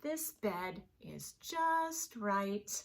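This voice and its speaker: Woman voicing a fussy, slow-talking storybook teddy bear. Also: medium pitch, very animated, creaky, heavily acted. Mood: contented. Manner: drawn-out, fussy pronouncements. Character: big fussy male teddy bear intruder, choosy. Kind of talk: children's picture-book read-aloud.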